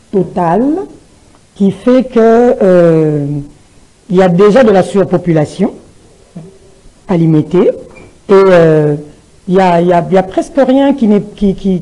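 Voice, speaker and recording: A woman from Kinshasa with a Congolese French accent, answering studio interview questions as a political candidate, with a halting delivery. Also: on the low side, mellow, rough recording. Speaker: parliamentary candidate and party spokesperson. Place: television studio. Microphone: gooseneck desk microphone.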